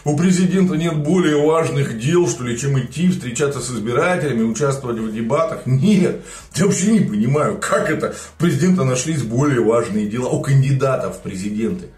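A man's voice: mid-range voice, brisk, a little mellow, roomy sound. A man in his forties giving emotional, indignant political commentary to camera from a home studio, gesturing a lot as he talks. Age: 40s. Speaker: political video blogger. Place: home studio room with plants.

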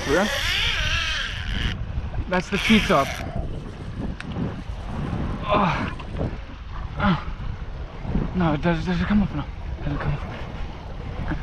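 A young South African man chatting casually and excitably while fishing, with slang and swearing. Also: high voice. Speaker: recreational angler. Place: outdoors on a small open fishing boat at sea. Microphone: action camera worn by an angler. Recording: loud wind and boat engine noise.

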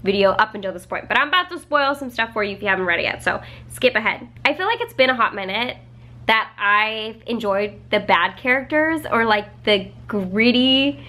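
Bright-voiced congested young woman vlogging. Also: fairly high pitch, animated, normal speed. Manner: chatty, lots of "like" fillers and laughs. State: congested from a cold. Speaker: book vlogger. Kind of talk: casual chat to camera. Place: home living room, indoors.

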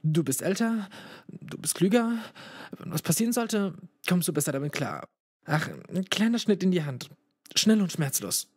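A man playing a nervous young student, husky. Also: high voice, expressive. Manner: jittery, quick to act. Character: young male student Andrew, nervous and impulsive.

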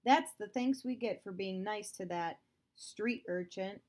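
A woman reading aloud, voicing a stern, domineering father who accuses a girl of theft, louder and higher than her narration. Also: measured pace, close to an everyday voice. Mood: accusing.

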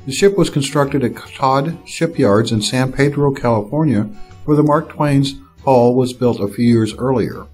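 A man narrating a history documentary from a script in a steady, factual way. His voice is mellow and warm at a mid pitch. Soft music plays faintly behind him. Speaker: narrator of a park history video.

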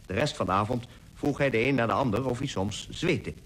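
An actor playing an expedition member who tells lively anecdotes in a radio play. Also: male voice, mid-range voice, brisk, close to an everyday voice, rough recording. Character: male expedition member telling lively anecdotes of camp life. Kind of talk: scripted radio drama narration.

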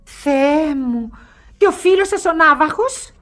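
Grand old lady in her sixties, high voice, bored and whimsical, gushes theatrically, radio play. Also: animated, fairly bright tone, soft background music. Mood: delighted, gushing. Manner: theatrical, effusive.

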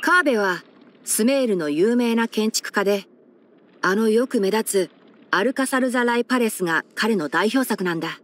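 A woman's voice: on the high side, lively intonation, moderate pace, close to an everyday voice. Woman voicing a composed, scholarly young male forest ranger. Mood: dry, cautioning. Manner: composed, articulate, mildly wry, mentor-like explaining. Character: young male fox-eared forest ranger, scholarly, sensible, dryly caring. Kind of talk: scripted video game character voice-over.

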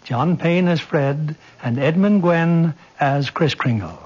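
A man's voice: fairly high pitch, slow, darkish tone, slightly rough. 1940s radio narrator man over music. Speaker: radio drama host and narrator. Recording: muffled old radio recording.

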